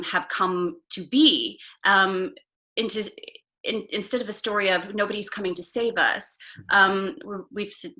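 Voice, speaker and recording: A woman's voice: mid-range voice, sharp tone, rough recording. A woman guest in an interview, an arts advocate who speaks earnestly with many "um" fillers and restarts. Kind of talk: casual interview chat.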